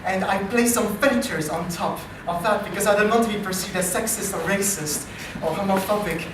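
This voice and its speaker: A man with a French accent, an AI researcher hosting a live improv comedy show, talking in a dry, self-deprecating way with halting restarts. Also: high voice, background noise. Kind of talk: live comedy stage talk. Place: live comedy meetup venue. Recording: distant, very echoey live venue.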